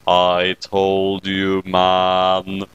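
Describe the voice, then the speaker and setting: A man voicing a smug magical creature in a fan story, slow, deadpan and taunting. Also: deep voice, clear, resonant tone, no echo, lo-fi sound, voice pushed down, full character voice.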